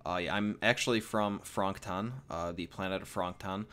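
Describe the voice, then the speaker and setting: A man's voice: low voice, fairly even intonation, moderate pace, a bit bright, clean tone. A 21-year-old man casually answering viewer questions in a rambling, jokey way. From United States. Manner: rambling and jokey, with fillers and repeats. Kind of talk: casual Q&A vlog.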